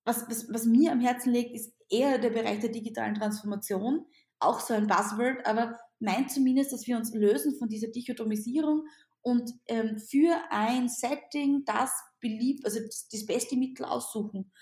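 Austrian-accented female teacher, non-native, higher voice, roomy echo, lively interview answers. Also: normal speed. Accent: Austrian German. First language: not German. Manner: animated, repeats words and restarts.

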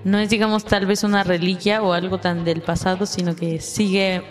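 A woman's voice: fairly low pitch, flat delivery, normal speed, soft background music. A woman with a Mexican accent co-hosting a podcast, explaining things in an informal, agreeable, chatty way. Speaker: podcast co-host. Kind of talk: casual explanatory chat.

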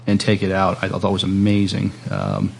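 A man's voice: low-pitched, big pitch swings, normal speed, gravelly, a little mellow, slight background noise. A man hosting a casual podcast interview, speaking in a hesitant, agreeable way. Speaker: podcast host.

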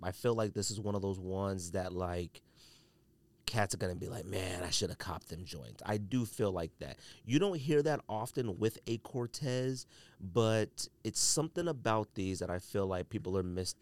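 Man, American accent, fairly deep voice, dry recording, casual podcast chat, slangy, conversational. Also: no room sound. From California, United States. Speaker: podcast host. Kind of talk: casual solo podcast chat about sneakers.

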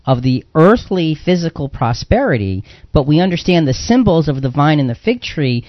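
Male religious talk host, fairly high-pitched, emphatic moderator, slightly lo-fi dry recording. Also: very animated, moderate pace, no echo. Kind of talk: conversational moderating of Bible discussion.

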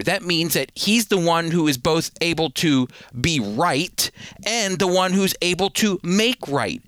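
Lively, earnest male Bible teacher. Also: a little high, no room sound. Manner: earnest, emphatic, repeats words when starting. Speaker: radio teacher.

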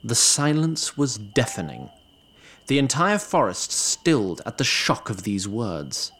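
A man's voice: medium pitch, big pitch swings, a little slow, a bit bright, a little hiss or hum. A man reading scripted narration for a fantasy story.

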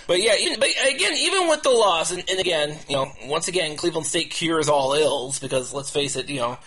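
A man's voice: a little high, animated, pushed and bright, rough recording. A man hosting a casual sports talk show, repeating himself and restarting phrases as he goes. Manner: halting, repetitive, restarts phrases over and over. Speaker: podcast host.

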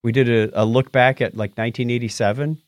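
A man's voice: medium pitch, fast, no room sound, studio quality. A middle-aged man co-hosting a long-running tech podcast in a casual, jokey chat. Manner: animated, jokey, reads out patron names. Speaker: podcast co-host.

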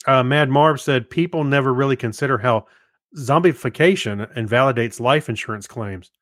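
A man's voice: medium pitch, normal speed, a bit bright, no room sound, clean studio sound. A man co-hosting a podcast, reading notes and trivia and trading wry, casual banter. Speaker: podcast co-host. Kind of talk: casual chat with listener feedback and trivia.

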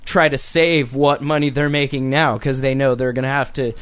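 A man's voice: mid-range voice, little pitch movement, normal speed, a bit bright, clean tone, dry recording. Joking male talk-radio co-host. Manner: joking, jumps into mocking put-on voices. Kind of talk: casual talk radio banter.

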